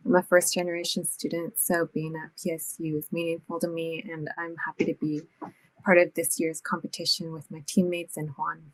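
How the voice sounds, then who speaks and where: Flat-voiced young woman student. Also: deep voice, rough recording. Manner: measured, frequent ums. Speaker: student team member. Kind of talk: interview answers.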